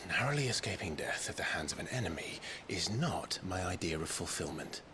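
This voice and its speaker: Low-voiced, dour young swordsman. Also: normal speed, noisy background. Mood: dry, skeptical. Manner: terse, dry, deadpan. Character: brooding young male swordsman, skeptical and dour. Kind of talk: video game dramatic acting.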